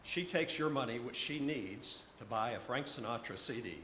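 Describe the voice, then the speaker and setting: A man voicing a wise, serene old Chinese man who speaks in formal, measured phrases within a told story. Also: mid-range voice, lively intonation, sharp tone. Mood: gentle, philosophical. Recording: roomy echo, background noise.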